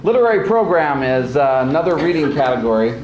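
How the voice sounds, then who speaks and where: A man hosting an event, giving an informal introduction with pauses mid-sentence. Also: a little high, sing-song, dull audio. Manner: halting, pausing mid-sentence. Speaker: speech coach and host. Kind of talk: informal spoken introduction of a program. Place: echoey indoor room before an audience. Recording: echoey room, faint noise.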